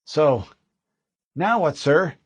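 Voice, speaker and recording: A man's voice: fairly high pitch, expressive, extremely slow, breathy edge, dry recording, pitched above the performer's own voice, exaggerated voice. A man reading an audiobook, voicing a nervous young male marine private who speaks in a shaky, edgy way.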